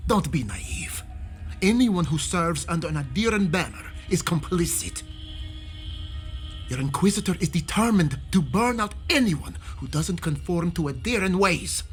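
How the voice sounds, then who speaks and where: A man's voice: high-pitched, animated, measured pace, soft background music. A man playing a bitter, defiant townsman in a video game cutscene. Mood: bitter, angry. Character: bitter, defiant townsman full of righteous anger.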